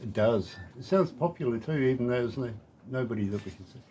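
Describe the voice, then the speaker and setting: A man's voice: mid-range voice, animated, fast, dark tone, a little hiss or hum. A man with a New Zealand accent, a radio DJ chatting casually and jokingly in a live interview.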